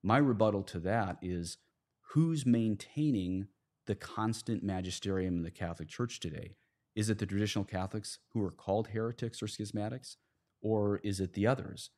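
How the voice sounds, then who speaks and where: A man with a fairly low voice hosts a conversational podcast. He argues his points emphatically and rhetorically, voicing imagined speakers as he goes. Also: moderate pace, darkish tone. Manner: emphatic, rhetorical, acts out quotes. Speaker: podcast host. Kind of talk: conversational podcast discussion, argumentative.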